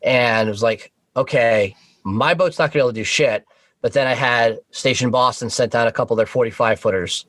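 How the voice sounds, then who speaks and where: A man tells work stories as a guest on a casual talk show. His language is informal and sweary, his pitch sits in the middle, and the sound is dry and close. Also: fairly quick, a bit bright, dry recording. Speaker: podcast guest, Coast Guard boat operator.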